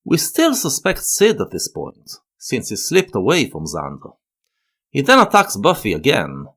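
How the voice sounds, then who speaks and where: Casting: male video-essay narrator, fairly deep, sweeping melodic delivery, analytical, with emphatic pauses. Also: fairly bright tone.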